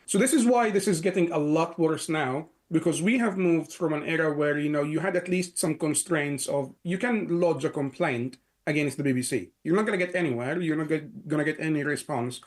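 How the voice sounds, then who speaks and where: Egyptian-born man, fairly high voice. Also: fairly quick, hardly any pauses. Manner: talks in long runs with many "you know" fillers and restarts. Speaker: guest, security analyst. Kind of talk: interview answers.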